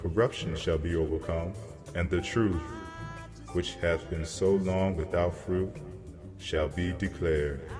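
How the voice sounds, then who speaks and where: A man playing a solemn angel messenger who delivers grave prophecy in a measured, declamatory way, as in a dramatized scripture reading. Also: deep voice, steady tone. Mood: grave, prophetic. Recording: long cavernous reverb, music behind. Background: music.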